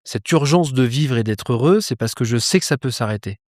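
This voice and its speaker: A man being interviewed about life and ambition, talking in a lively casual way with frequent fillers, restarts and mild swearing, outdoors. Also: mid-range voice, a little fast, no room sound, studio quality. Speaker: polar explorer guest. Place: outdoors with a view of a landscape.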